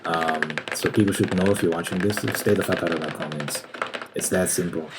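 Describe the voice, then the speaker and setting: A soft-spoken man in an ASMR comedy roleplay, talking in a brash, profane and dismissive way. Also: low-pitched, fairly even intonation, brisk, very noisy. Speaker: ASMR creator.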